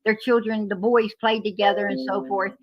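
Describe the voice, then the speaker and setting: A woman's voice: medium pitch, level tone, normal speed, dull audio. A woman talking bluntly and indignantly on a livestream panel. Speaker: panel guest. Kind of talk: heated livestream panel talk.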